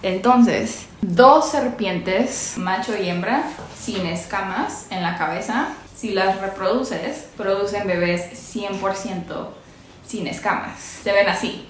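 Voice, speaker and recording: Young Mexican woman, unhurried, giggly reptile vlogger; very echoey bare room, steady ambience behind. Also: mid-range voice, slight background noise. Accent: Mexican Spanish. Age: young adult. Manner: chatty, giggly, coos at her animals. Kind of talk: casual unboxing vlog. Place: small bare indoor room.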